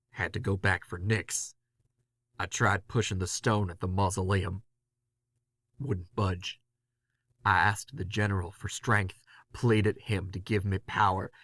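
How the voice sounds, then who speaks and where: Male actor as haunted confessor, sharp forceful tone, intense, anguished, halting, dry close recording, horror audio drama. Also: on the low side, dry recording. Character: Robbie, haunted, panicked man recording his confession on tape. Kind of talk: first-person horror audio drama monologue.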